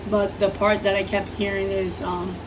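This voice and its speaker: Woman tarot host, hoarse from illness, loose and rambling, distant mic, audible background hum. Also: mid-range voice, little pitch movement, normal speed. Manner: rambling, apologetic, many fillers. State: sick, rough smoker-like voice. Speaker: tarot reader. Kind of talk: casual tarot reading monologue.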